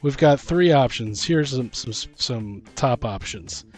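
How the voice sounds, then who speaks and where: Rambling, hesitant man over soft guitar music. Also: medium pitch, lively intonation, unhurried. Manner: rambling, hesitant, thinking aloud. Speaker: podcast host and game master. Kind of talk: casual chat about tabletop role-playing.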